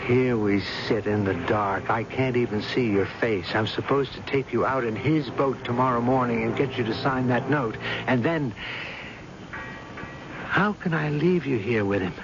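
Noisy, dull vintage radio drama audio with a man playing an urgent, protective secret lover. He speaks earnestly and coaxingly in a fairly middle-to-high male voice, in classic radio acting style. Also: normal speed, close to an everyday voice. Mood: earnest, pleading.

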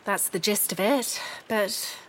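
A woman's voice: medium pitch, slow, a bit bright, slight background noise, rough recording. An actress playing a refined, determined, anxious wife of a missing man in a 1930s noir audio drama, formal and articulate. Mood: guarded, impatient. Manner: formal, articulate, clipped politeness. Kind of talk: scripted period noir audio drama acting.

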